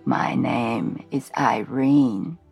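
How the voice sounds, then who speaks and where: A woman voicing a very old, calm and mysterious great-great-grandmother, speaking slowly and low. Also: vocal fry, breathy edge, muffled recording, faint music behind, exaggerated voice. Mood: serene. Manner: slow, low, measured.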